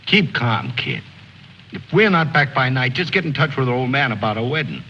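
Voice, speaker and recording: A cynical, gruff soldier in an old war film, sarcastic and forever needling. His delivery swings widely in pitch over a muffled soundtrack with noticeable noise. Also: big pitch swings, normal speed, sharp tone. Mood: mocking. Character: gruff, sardonic, cynical male soldier named Mac.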